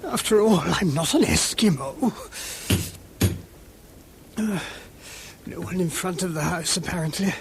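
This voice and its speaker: A man with a British accent playing a gentle, inquisitive priest-detective in a radio drama, musing aloud politely and a little hesitantly. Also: sits high, unhurried, vocal fry, pauses often, very noisy. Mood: mildly impatient. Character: gentle, inquisitive, mild-mannered English priest-detective, male.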